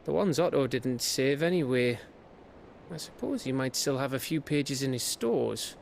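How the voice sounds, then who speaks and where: An actor playing a blunt, foul-mouthed, grumbling man in a fantasy game, gruffly sardonic. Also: mid-range voice, lively intonation, moderate pace, slight background noise.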